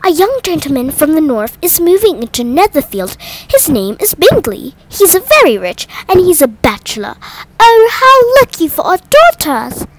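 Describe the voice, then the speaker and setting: A woman voicing an excitable, nervous mother mouse in a storybook, with shrill, dramatic exclamations. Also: moderate pace, poor audio. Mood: excited.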